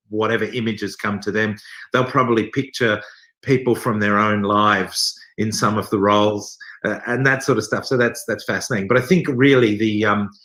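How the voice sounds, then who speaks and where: Low-pitched man with a bright, crisp edge. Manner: thoughtful, halting, wry self-deprecating humour. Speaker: theatre company director being interviewed. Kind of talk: casual interview talk.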